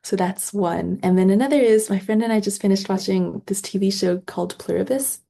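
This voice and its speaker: A woman's voice: medium pitch, brisk, creaky, mellow. A woman speaking as an author guest in a casual interview, reflective and thoughtful, often adding small conversational qualifiers.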